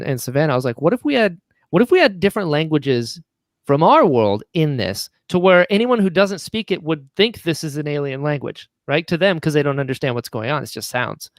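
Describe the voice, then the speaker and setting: Male podcast guest, writer-producer type; higher register, dry recording, animated casual storytelling. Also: fairly quick, no room sound. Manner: enthusiastic, chatty, quotes conversations.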